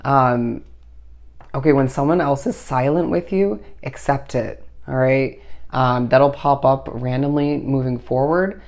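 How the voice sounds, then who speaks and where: Hoarse tarot reader, recovering voice. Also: mid-range voice, a little slow, darkish tone. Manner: meandering, intuitive delivery full of "I do feel as if"; reassuring, addresses the listener directly. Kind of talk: solo tarot reading to camera.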